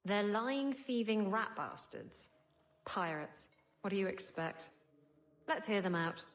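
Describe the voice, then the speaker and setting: A woman's voice: mid-range voice, expressive, slow pace. A woman voicing a brash, saucy and suspicious female pirate captain in a video game, salty and sarcastic.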